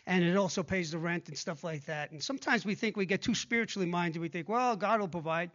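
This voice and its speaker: A man in his sixties preaching a sermon, speaking conversationally and growing hushed when he prays. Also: high voice, fast talker, highs cut off. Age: 60s. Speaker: pastor. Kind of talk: sermon with prayer and scripture reading.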